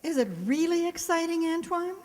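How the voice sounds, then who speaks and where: A woman's voice: very high voice, moderate pace, a little mellow. A woman playing a wry, wisecracking schoolteacher with a dry, quipping manner in a radio comedy. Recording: echoey hall, long reverb.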